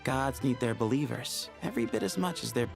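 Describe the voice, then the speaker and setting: Expressive, gravelly young temple guardian. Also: male voice, mid-range voice, brisk, over music. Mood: reflective. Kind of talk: video game voice acting.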